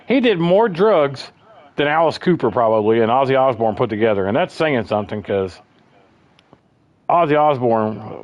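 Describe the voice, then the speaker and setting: Bassy man chatting on a lo-fi stream. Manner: rambling, chatty storyteller, calls people bro. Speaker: livestream host. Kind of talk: casual chat.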